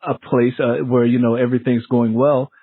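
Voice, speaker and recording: A man's voice: medium pitch, little pitch movement, moderate pace, breathy edge, narrow, tinny audio. A man hosting a casual book interview as a fellow author, speaking in an informal, colloquial way.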